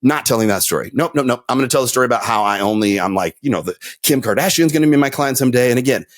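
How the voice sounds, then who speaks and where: Animated storytelling man on a podcast. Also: mid-range voice, very fast, no echo, clean studio sound. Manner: storytelling, animated, many fillers. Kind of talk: casual podcast conversation.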